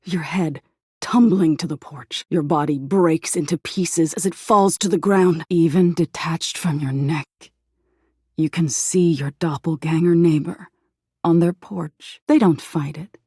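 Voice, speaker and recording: A woman playing an eerie, softly menacing embodiment of existential dread in a video game, narrating slowly in a hushed, breathy voice that slips into whispers. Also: sits low, no echo, clean studio sound. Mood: grim, haunting. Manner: slow hushed storytelling, lapsing into whispers.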